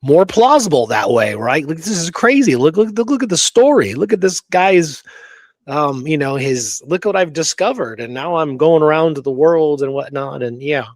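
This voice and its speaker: A man's voice: a little high, lively intonation, moderate pace, no room sound. A man co-hosting a discussion show, reading out quotes and often checking in with "okay?" and "right?". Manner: frequent "you know" and checking "okay?", "right?". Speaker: show co-host.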